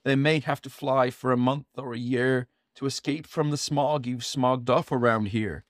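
A man's voice: mid-range voice, fairly even intonation, moderate pace, no echo, studio quality, lightly acted. A man voicing a small, cranky male forest creature who scolds in rhyme, read from a storybook. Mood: indignant. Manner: scolding, indignant rhyming speech. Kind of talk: storybook reading aloud.

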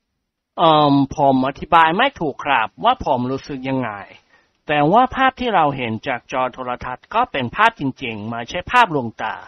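A reader voicing a self-important male doctor and scientist who talks slow and measured. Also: medium pitch, very animated, no room sound, dull audio. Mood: earnest.

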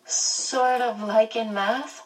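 A woman's voice: fairly high pitch, steady tone, very slow, a little hiss or hum, voice pushed up. A woman voicing an anxious, blunt teenage girl who talks earnestly and hesitantly, recorded at a distance.

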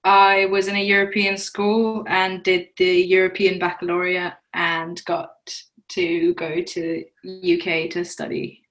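A woman's voice: lowish voice, flat delivery, unhurried, fairly bright tone, low-quality recording. A young adult woman from Germany, an international student giving brief, plain answers. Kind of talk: casual chat.

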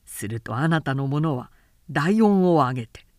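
A woman who works as a narrator reads a literary tale dramatically, in a measured, carefully articulated way. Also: low voice, lively intonation, darkish tone, no room sound, studio quality. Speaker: professional narrator.

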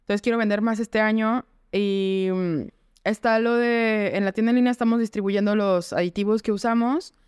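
A Mexican woman speaks as an interview guest in a clear, smooth voice of medium pitch. Her delivery is informal and candid, and the sound is close and dry. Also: steady tone, moderate pace, darkish tone, no echo. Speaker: guest, entrepreneur. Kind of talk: casual interview conversation.

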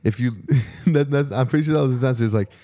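A man's voice: mid-range voice, quick pace. A man in a casual chat, telling stories in slangy speech and acting out exchanges as a podcast co-host. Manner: animated storytelling, acting out quoted exchanges.

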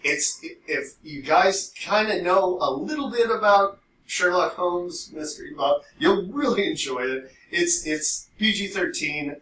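A man with a bright, slightly high voice rambles casually as a podcast host, animated and quick to swear. He sounds away from the mic in a roomy, echoing space. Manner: animated storytelling, frequent swearing. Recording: roomy echo, distant mic, faint noise.

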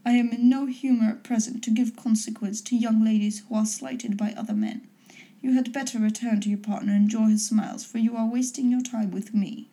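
A woman voicing a proud, aloof young gentleman who speaks coldly and dismissively. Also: fairly high pitch, lively intonation, a little fast. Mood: disdainful. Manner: cold and dismissive.

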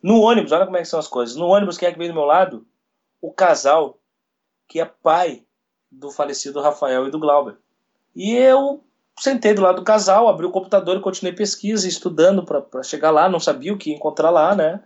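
A man, a radio sports journalist telling a story in an interview, retelling events quickly with quoted dialogue. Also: fairly high pitch, darkish tone. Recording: dull lo-fi recording.

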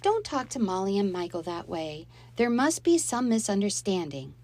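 A woman's voice: very animated, normal speed, darkish tone. A woman voicing an upset mother whose voice quavers as she scolds and pleads, in a storybook reading. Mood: pleading, tearful. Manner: high, strained, quavering. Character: upset mother, worried, tearful, strained.

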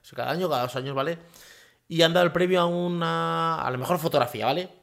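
A man's voice: a little high, quick pace, gravelly, a bit bright, faint music behind. A man hosting a casual solo podcast from his home office, rambling with many fillers and tag questions. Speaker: podcast host.

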